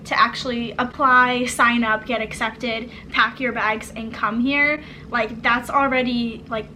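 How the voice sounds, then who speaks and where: A woman's voice: on the high side, fairly even intonation, a little slow, bright, forceful tone, slight background noise, rough recording. A young woman from the United States hosting a casual chat about studying abroad, talking with lots of "like". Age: young adult. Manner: chatty, filler-heavy, frequent "like". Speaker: host and exchange student.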